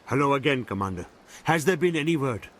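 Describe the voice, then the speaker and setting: An actor voicing a gentle, sorrowful, courteous widower in a video game, subdued in manner. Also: male voice, medium pitch, lively intonation, fast talker, faint music behind, close to an everyday voice. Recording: faint noise and ambience.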